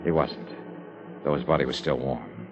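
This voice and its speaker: Low-voiced hardboiled American radio detective. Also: male voice, moderate pace. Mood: wry, grim. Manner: wry, clipped, matter-of-fact. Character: hardboiled male insurance investigator narrating his own case. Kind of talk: golden age radio drama acting. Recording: dull old radio recording with music.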